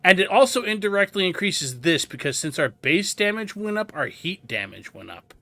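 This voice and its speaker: A man's voice: high-pitched, sing-song, normal speed, pushed and bright, no room sound. A man giving a casual game walkthrough, explaining things as he goes and thinking aloud. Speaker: gameplay guide host.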